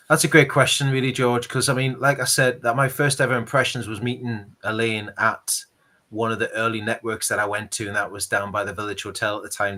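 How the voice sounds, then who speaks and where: A man's voice: on the low side, bright, forceful tone. A man with a Geordie accent hosting a casual interview, talking earnestly in long rambling sentences. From Northeast England. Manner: earnest, rambling, repeats words. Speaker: interview host, charity ambassador.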